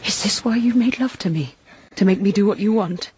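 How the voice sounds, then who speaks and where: An actress playing a passionate, wounded young lover of a spy in an emotional radio drama. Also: female voice, medium pitch, fairly quick, darkish tone, a little hiss or hum, close to an everyday voice. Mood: bitter, angry.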